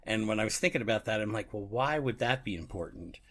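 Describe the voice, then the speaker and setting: A man's voice: on the low side, expressive, quick pace, fairly bright tone. A man co-hosting a podcast, casually reflecting and thinking aloud with a chuckly manner. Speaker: podcast co-host. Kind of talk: casual book and radio drama discussion.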